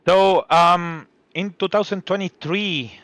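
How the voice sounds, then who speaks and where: Male color commentator, slow, halting delivery, smooth clear voice. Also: high voice, a bit bright, dry recording. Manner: hesitant, many fillers, searching for words. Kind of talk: sim racing broadcast commentary.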